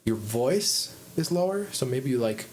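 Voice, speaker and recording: Midwest guy hosting a podcast, low chesty voice, rambles n restarts a lot, faint hiss behind. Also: very animated, a little slow, darkish tone. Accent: American Midwest. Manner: rambling, restarts, thinks aloud. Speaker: podcast host, musician. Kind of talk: casual chat.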